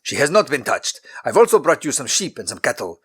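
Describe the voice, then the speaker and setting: A man voicing an angry ancient king who speaks in a forceful, accusing way. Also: medium pitch, big pitch swings, brisk, husky, voice pushed up, exaggerated voice. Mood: stern.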